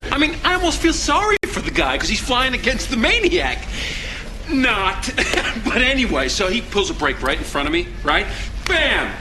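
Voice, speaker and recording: A man's voice: high-pitched, huge pitch swings, moderate pace, music in the background. A man playing a cocky, boastful fighter pilot in a video game cutscene, theatrical and mocking in his delivery. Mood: excited bragging. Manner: boastful, mocking, theatrical storytelling with shouted sound effects.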